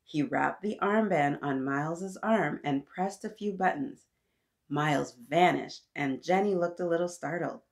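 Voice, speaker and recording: A woman hosting an interactive storytime, reading aloud to young children in an animated, encouraging way and talking directly to her little listeners. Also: deep voice, unhurried, vocal fry.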